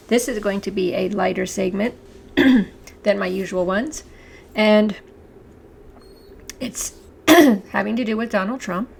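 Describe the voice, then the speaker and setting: A woman, hosting her own channel, talking to camera in a chatty, halting way with a hoarse voice and frequent throat-clearing. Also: medium pitch, slight background noise. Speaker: channel host. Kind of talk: casual talk to camera.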